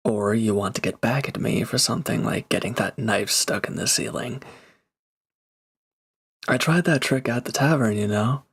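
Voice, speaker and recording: A man playing a reformed villain turned mercenary in an intimate audio roleplay, speaking casually and haltingly, grateful and a little self-deprecating. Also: medium pitch, fairly bright tone, slightly rough. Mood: casual, pleased. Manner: casual, hesitant, halting, sincere. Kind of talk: intimate audio roleplay drama, one-sided dialogue.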